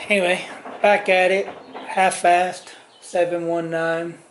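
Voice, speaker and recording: A man's voice: high voice, little pitch movement, takes it slowly, a little hiss or hum, low-quality recording. Tired, rambling man in a garage. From Southern California. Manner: rambling, trails off, lots of ums and self-corrections. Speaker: garage vlogger. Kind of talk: casual rambling chat to camera. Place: home garage workshop. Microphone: on-camera mic, camera at arm's length and on bench.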